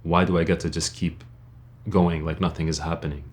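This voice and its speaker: A man's voice: rumbling low, little pitch movement, brisk, darkish tone. A young man from Lebanon speaking as a video creator in an earnest personal monologue, blunt and informal, often putting rhetorical questions.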